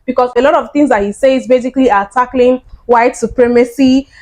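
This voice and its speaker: Woman host, casual reaction commentary, friendly, chatty, slightly high voice. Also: darkish tone. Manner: friendly and chatty, with filler words. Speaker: YouTube channel host.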